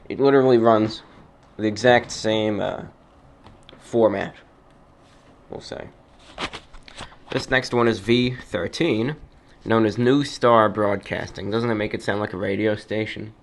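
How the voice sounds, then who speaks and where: A man hosting a radio show, explaining things in a casual, halting, conversational way. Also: on the low side. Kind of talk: casual informal radio commentary.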